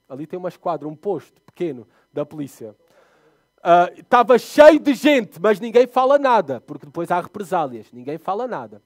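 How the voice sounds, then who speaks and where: Animated high-voiced European Portuguese pastor. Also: male voice, no room sound. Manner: animated storyteller, voice rising and dropping sharply for emphasis. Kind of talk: sermon with personal storytelling.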